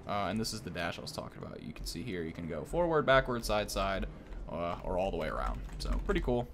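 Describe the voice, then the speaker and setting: Male gaming host, lowish pitch, informal unscripted commentary. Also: very animated, fast talker, gravelly, music in the background. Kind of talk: casual gameplay commentary.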